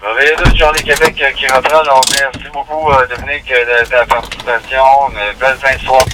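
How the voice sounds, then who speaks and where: A Québécois man runs a ham radio net in a courteous, procedural way. His delivery is very even, and his voice comes over a digital radio with loud vehicle noise around it. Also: medium pitch, fairly quick. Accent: Québécois French. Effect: digital ham radio transmission. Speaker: amateur radio net host. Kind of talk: radio net check-ins.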